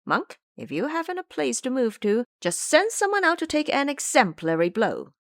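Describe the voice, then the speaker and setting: A woman's voice: high voice, very animated, normal speed, no room sound, studio quality, voice pushed up. A woman voicing an aggressive, boastful monkey warrior monk in an audio drama, threatening and commanding. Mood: menacing, demanding.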